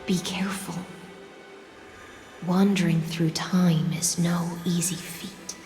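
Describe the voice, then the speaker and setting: An actress playing an ancient lady guardian of the sea in a fantasy game, with a measured, solemn, poetic delivery. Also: fairly low pitch, steady tone, darkish tone, reverberant. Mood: solemn caution. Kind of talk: dramatic scripted game voice acting. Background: music.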